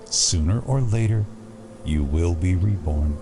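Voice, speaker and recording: Deranged male villain, menacingly playful, unhurried and animated, over prominent music. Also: sits low. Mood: ominous. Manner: theatrical, taunting, slow, giggling. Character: Edward, sly deranged riddle-obsessed male asylum patient, menacingly playful. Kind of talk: audio drama acting.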